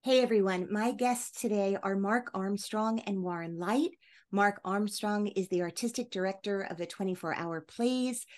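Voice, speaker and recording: A woman hosting an interview podcast, introducing things in an enthusiastic, effusive manner. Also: mid-range voice. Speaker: podcast host.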